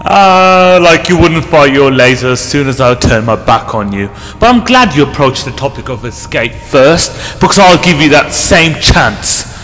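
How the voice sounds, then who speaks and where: A man's voice: fairly high pitch, big pitch swings, unhurried, hardly any pauses, a bit bright, long reverb tail, faint music behind, poor audio. Man playing a breezy, flippant time-travelling adventurer. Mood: curious, confident. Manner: breezy, teasing, chatty. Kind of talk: amateur fan audio drama acting.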